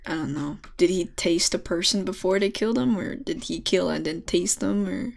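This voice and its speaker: A woman's voice: on the low side, normal speed, creaky. A woman co-hosting a podcast, chatting casually with slang and the odd swear word. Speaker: anime and manga podcast co-host. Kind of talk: casual chat.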